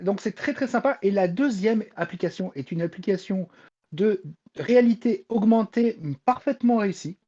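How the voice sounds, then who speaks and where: Mellow-voiced Frenchwoman, slightly muffled recording. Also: mid-range voice, normal speed. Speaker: podcast panelist and reporter on Japanese pop culture. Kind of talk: informal panel talk, explaining enthusiastically.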